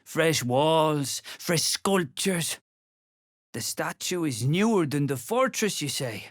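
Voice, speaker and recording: A man's voice: on the high side, animated, takes it slowly, dry recording, clean studio sound. A man voicing a weary, scholarly dwarf stonemason in a video game, courteous and curious, with an ornate, musing way of talking. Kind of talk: video game character acting.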